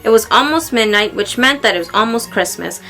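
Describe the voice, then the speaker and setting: A woman reads a children's storybook aloud in an expressive way, acting out the exclamations and dialogue. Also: on the high side, brisk, soft background music. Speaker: storybook reader.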